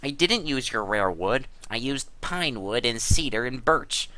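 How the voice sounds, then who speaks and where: Man acting an indignant, swooping, bright-voiced gamer. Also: mid-range voice, expressive, measured pace, rough recording. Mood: defensive. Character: defensive, aggrieved male gamer protesting his innocence. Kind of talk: dramatic reading of a gaming chat log.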